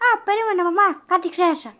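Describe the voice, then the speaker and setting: A woman voicing an earnest, excitable five-year-old in a cartoon fan dub. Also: extremely high pitch, a little hiss or hum. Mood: timid.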